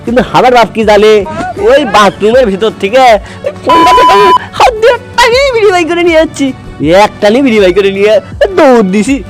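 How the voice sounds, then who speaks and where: A man voicing a cheeky, cowardly truant schoolboy in a comic cartoon, whiny and exclaiming with drawn-out sighs in a Bogra accent. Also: extremely high pitch, wildly swooping pitch, loud music behind the voice, heavily acted. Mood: blissful, sly. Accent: Bogra, northern Bangladesh. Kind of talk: comic cartoon dubbing in rural dialect.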